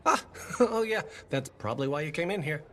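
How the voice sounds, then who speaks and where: An actor playing a friendly, nervous bartender in a video game, chatty, earnest and a little hesitant. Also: male voice, a little high, lively intonation, fairly quick, sharp tone, a little hiss or hum.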